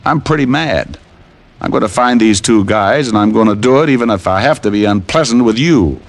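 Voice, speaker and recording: An American actor playing a tough, wisecracking private detective in an old radio drama, sardonic and unhurried. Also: male voice, fairly low pitch, expressive. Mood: cool menace. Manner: sardonic, hard-boiled, unhurried. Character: tough wisecracking male private detective, menacing yet casual. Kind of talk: 1940s-50s radio detective drama acting. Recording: old radio broadcast recording, music behind.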